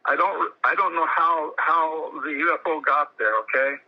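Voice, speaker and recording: A man talking informally in a halting, repetitive way on a lo-fi phone call recording. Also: a little high, fairly even intonation. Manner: halting, repeats himself.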